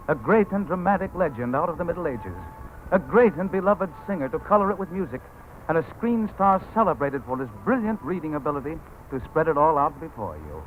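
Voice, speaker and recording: A man hosting a 1940s radio drama, giving a formal, smooth scripted introduction. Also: high-pitched. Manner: smooth formal announcer delivery. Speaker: radio host. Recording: tinny old 1940s radio broadcast, faint hiss.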